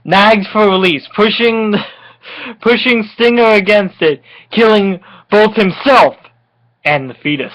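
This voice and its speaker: A person giving a tipsy, mock-dramatic reading of comic fan fiction, halting and often giggly. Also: mid-range voice, a bit bright, poor audio. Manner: giggly, frequently breaking into laughter; melodramatic, halting delivery.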